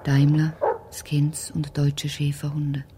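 A woman narrating a radio drama in a terse, detached, husky delivery, as if reading out stage directions. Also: low voice, completely flat delivery, slow, background noise. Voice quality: husky, breathy.

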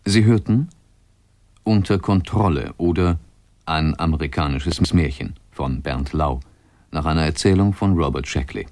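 Guy reading radio credits, really deep voice, dry close sound. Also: a little slow, darkish tone, no echo. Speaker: radio announcer. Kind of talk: scripted credits announcement.

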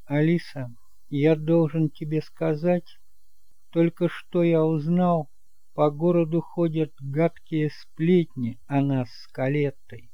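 Man playing an earnest young man, lightly raised pitch. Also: fairly even intonation, takes it slowly, no echo, dull audio. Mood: serious, sincere. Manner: earnest, emphatic. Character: earnest, principled young man, gentle and resolute.

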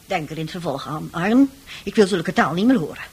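A woman playing a middle-aged, nagging mother in a radio play, with a husky voice and a hesitant, reproachful manner. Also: lowish voice, lively intonation, fairly quick, exaggerated voice. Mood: reproachful, worried. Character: worried, weary, nagging mother of a teenage son.

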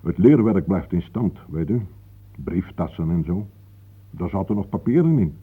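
An actor playing an older, guarded, matter-of-fact local man with a husky voice, formally polite, in a Dutch radio play. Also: deep voice, animated, normal speed, narrow, tinny audio.

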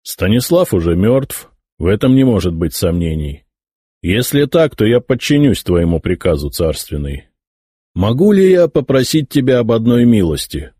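Narrator plays a guarded old churchman: low husky voice, composed delivery, audiobook. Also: dry recording, studio quality. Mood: measured. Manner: calm, measured. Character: old male prince-bishop, calm and guarded. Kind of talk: audiobook character voicing.

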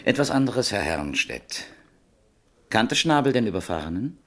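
An actor playing a terse, probing police detective in a radio crime play, asking clipped, dry questions. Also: male voice, on the low side, big pitch swings, normal speed. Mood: businesslike.

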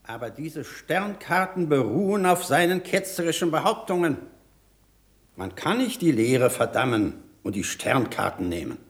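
A troubled pope, swooping intonation. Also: male voice, fairly high pitch, sing-song, normal speed. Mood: firm, troubled. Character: troubled male pope, firm yet wavering, reasoning. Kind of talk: radio drama acting.

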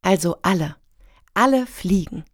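An actress in a satirical radio play as a self-important, gushing middle-aged woman pitching her initiative with earnest jargon. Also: lively intonation, a little slow, no room sound, clean studio sound.

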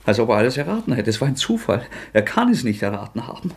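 An actor playing a tormented, defiant young man confessing to murder in an agitated, anguished German radio drama performance, with a husky voice that often breaks. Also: mid-range voice, big pitch swings, heavily acted. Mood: haunted, evasive. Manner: agitated, anguished, halting outbursts.

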